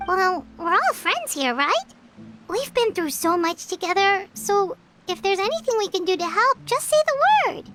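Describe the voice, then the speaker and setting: A woman voicing a small, childlike fairy companion in a game, chatty, eager and easily flustered, with a squeaky voice. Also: very animated, moderate pace, fairly bright tone, faint music behind, heavily acted. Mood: friendly, reassuring. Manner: stammering, refers to herself by name. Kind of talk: animated game voice acting.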